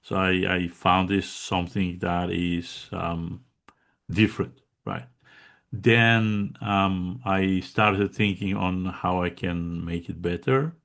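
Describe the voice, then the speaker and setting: A man's voice: sits low, steady tone, slow pace, gravelly, fairly bright tone. A man from Bulgaria with a Bulgarian accent, a guest chatting casually in an interview, with lots of fillers and restarts. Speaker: guest contributor.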